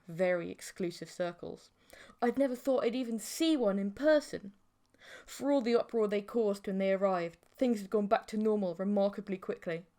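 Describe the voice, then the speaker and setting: A woman reading a scripted story as an audiobook narrator, in a measured, theatrical way. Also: mid-range voice, dark tone.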